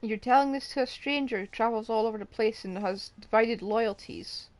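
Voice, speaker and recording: A woman's voice: a little high, normal speed, no room sound. A woman streamer giving casual live commentary on a game, with dry, wry asides.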